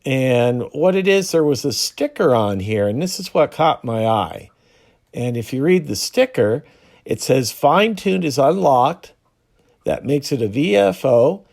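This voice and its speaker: A man hosting a hobby video, explaining casually and unscripted, with halting pauses mid-sentence. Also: mid-range voice, lively intonation, gravelly, a little mellow.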